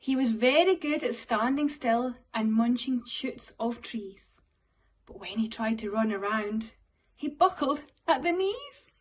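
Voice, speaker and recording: Slow, high-pitched Scottish woman reading aloud. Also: a little hiss or hum. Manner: expressive, addressing young listeners. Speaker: primary school teacher. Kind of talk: storybook read-aloud for children.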